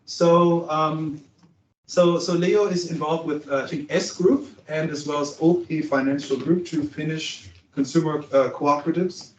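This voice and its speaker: A man's voice: a little high, fairly even intonation, unhurried. A man moderating a panel discussion, loose and chatty with fillers. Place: large conference hall. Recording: echoey hall, distant dull mic, faint noise.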